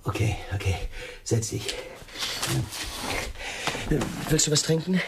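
A man's voice: fairly high pitch, animated, normal speed, gravelly, heavy background noise. An actor playing a nervous, fussy, likeable man who is edgy and self-deprecating, in a German radio play. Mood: questioning.

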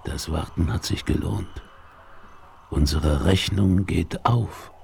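An actor playing a calm, watchful frontiersman in a 1970s western radio drama, speaking deliberately. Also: low-pitched, vocal fry, full character voice. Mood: satisfied. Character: calm, watchful male frontier hero lying in wait. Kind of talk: 1970s German audio drama acting. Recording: vinyl rip, noisy outdoor ambience.

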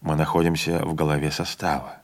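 A man voicing an older train conductor who speaks quietly, slowly and firmly with calm dignity. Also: rumbling low, voice pushed down. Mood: calm grave.

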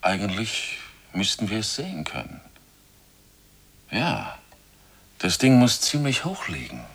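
An actor playing a serious, suspicious astronaut at a moon station in a German radio drama, speaking gravely and decisively. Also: male voice, lowish voice, huge pitch swings, a little slow, a bit bright, full character voice. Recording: clearly noisy, hissy radio play recording.

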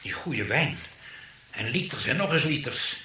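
A man's voice: medium pitch, big pitch swings, a little slow, bright, forceful tone. A man acting in a radio play as a flustered, money-minded husband who is incredulous and hesitant. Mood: exasperated. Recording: roomy, slightly noisy radio recording.

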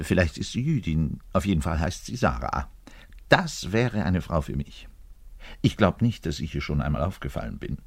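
A bitter, envious orchestral bassist in a radio play. The voice is low and husky, and he speaks slowly and deliberately. He addresses the listener directly, full of self-pity, moving between muttered asides and ranting. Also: full character voice. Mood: wistful, self-pitying. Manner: ranting, self-pitying, swinging from muttering to loud outbursts. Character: frustrated, lovesick orchestral double bass player, bitter and envious. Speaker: actor in a radio play monologue.

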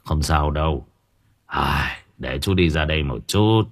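A man voicing a kindly, resigned old labourer in an audio story, gentle, cautious and fatherly. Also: a bass voice, sing-song, slow, husky, voice pushed down, exaggerated voice. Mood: reassuring, teasing. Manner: gentle, worried, advising patience.

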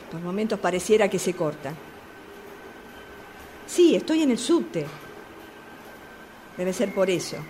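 A woman's voice: mid-range voice, darkish tone. An actress playing a chatty, exasperated woman with a Rioplatense Argentine accent, gossiping and complaining in a radio play about a subway phone call. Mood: gossipy, aggrieved. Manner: gossipy, exasperated, repeating herself. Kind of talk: radio theatre monologue acting. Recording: clearly noisy amateur workshop recording.

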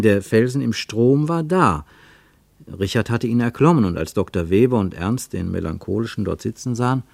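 Low-voiced man narrating a literary radio play. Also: no room sound.

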